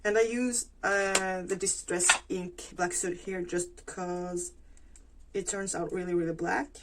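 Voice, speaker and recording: A woman, talking through her crafting casually, thinking aloud with pauses and fillers. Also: lowish voice, steady tone, heavy background noise. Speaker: planner vlogger. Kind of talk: casual plan-with-me narration while crafting.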